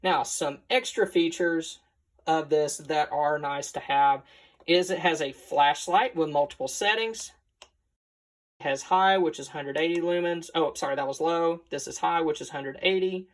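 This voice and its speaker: A man's voice: fairly high pitch, unhurried. American guy doing a laid-out gear review, plain talking and kinda folksy, casual explainer style. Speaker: product reviewer and host. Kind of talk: casual unscripted product review.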